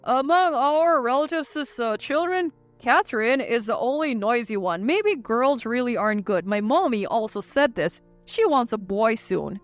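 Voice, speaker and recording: Woman playing a smooth, dismissive, petulant husband. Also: fairly high pitch, animated, unhurried, hardly any pauses, no room sound, soft background music. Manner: dismissive, self-satisfied. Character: callous, petulant husband, a mama's boy.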